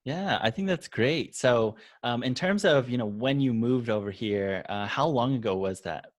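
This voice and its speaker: A man's voice: medium pitch, expressive, moderate pace, no echo. A man hosting a podcast interview, asking encouraging questions with many "you know" fillers. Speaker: podcast host.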